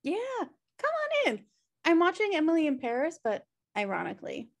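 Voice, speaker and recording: A woman's voice: high voice, big pitch swings, normal speed. An American woman playing a lazy, flippant slacker sister in a comedy table read, with a casual, dismissive way of talking. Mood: breezy. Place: indoor room, video call.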